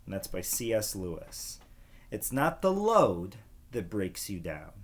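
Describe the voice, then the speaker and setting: A man reading a children's book aloud like a teacher, pausing to explain and ask young listeners questions. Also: fairly low pitch, big pitch swings.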